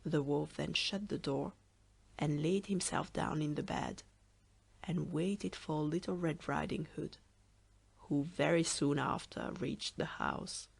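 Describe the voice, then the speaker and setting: A woman narrating a children's fairy tale audiobook in a slow, clear, expressive storytelling way. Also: deep voice.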